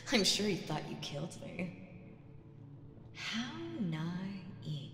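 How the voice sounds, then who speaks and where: A woman playing a seductive, imperious demon queen in an audio roleplay, teasing and condescending, with drawn-out phrasing and breathy asides. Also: lowish voice. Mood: haughty. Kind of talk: slow roleplay audio drama monologue with whispers. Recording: echoey reverberant hall with music behind.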